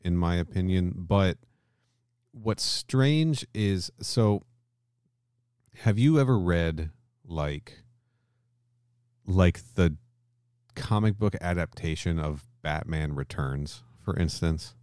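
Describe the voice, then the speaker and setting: A man with an extremely low, deep voice talks casually as a podcast host. He thinks out loud, hedging and rewording as he goes. The sound is dry and close, with no room echo. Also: slow, long pauses, smooth. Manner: hedging, thinking aloud, circles back to rephrase. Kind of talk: casual discussion between co-hosts.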